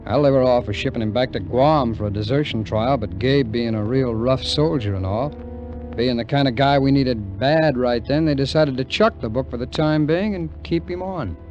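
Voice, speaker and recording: A man's voice: medium pitch, moderate pace, darkish tone, dull audio, lightly acted. An actor playing a wary, cynical American ex-soldier who tells his story in slangy, wry 1950s radio drama narration. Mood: hard-boiled recounting. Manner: slangy, hard-boiled, wry. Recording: vintage radio recording with music.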